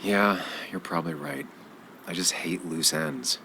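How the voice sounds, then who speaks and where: An actor playing a guarded, brooding journalist in a video game, speaking in a reserved, understated way. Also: male voice, low voice, measured pace, bright, forceful tone, slight background noise, heavily acted. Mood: guarded, uneasy. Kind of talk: naturalistic video game acting.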